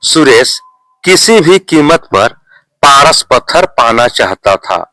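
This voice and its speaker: A male storyteller reads a scripted moral tale. His delivery is very slow and deliberate, his pitch sits in the middle, and the sound is dry and close. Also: very animated, bright, forceful tone, no echo.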